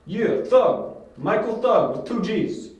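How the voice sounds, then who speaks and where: A man's voice: high-pitched, expressive, takes it slowly, a little mellow. A man acting a dim-witted, self-satisfied, obedient thug in an amateur audio drama. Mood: dim, pleased. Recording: very echoey, distant from mic.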